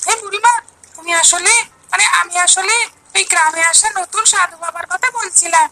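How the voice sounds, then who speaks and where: A woman voices an earnest, aggrieved village boy in a cartoon tale. The voice is extremely high and bright, full of plaintive complaint, over faint noise. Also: steady tone, normal speed, heavily acted. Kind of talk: Bengali cartoon story dialogue.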